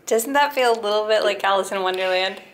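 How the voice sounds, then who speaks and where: A woman's voice: medium pitch, fast. A woman in her thirties chatting playfully on a travel vlog, laughing often, outdoors on a windy lighthouse gallery with a camera mic. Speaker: sailing vlogger. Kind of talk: casual travel vlog chat. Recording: wind noise on camera mic.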